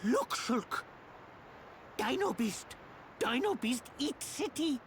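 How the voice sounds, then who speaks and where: Small furry game creature: very high, animated, childlike, broken speech, faint hiss. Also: male voice, slow, heavily acted. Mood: excited alarm. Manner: broken third-person speech, exclaiming.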